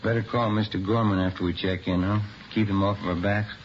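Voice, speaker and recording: Man as a low-voiced, plainspoken detective partner. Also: fairly even intonation, fairly quick, close to an everyday voice. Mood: practical. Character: plainspoken, practical male police detective, the partner. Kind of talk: 1950s radio crime drama acting. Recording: muffled, lightly noisy vintage radio recording.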